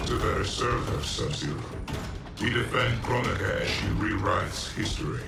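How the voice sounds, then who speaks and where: Harsh gravelly cyborg ninja. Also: male voice, fairly low pitch, steady tone, moderate pace. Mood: cold, menacing. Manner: cold, formal. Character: cold, servile cyborg ninja enforcer. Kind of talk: cinematic video game acting. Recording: roomy, distant, loud music and machinery.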